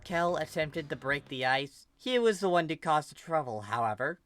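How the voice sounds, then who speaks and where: An amateur reader narrating a fan fiction story in a dramatic, animated way. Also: medium pitch, normal speed.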